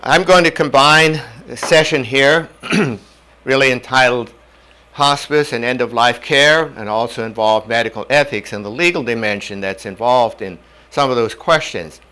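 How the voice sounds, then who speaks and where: An older man from North Dakota whose first language was German, giving a measured lecture on medical ethics in a classroom on a lapel mic. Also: medium pitch, pushed and bright, low-quality recording. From North Dakota, USA. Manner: measured, plain-spoken teaching with homely examples. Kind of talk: whiteboard lecture. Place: indoor classroom in front of a whiteboard.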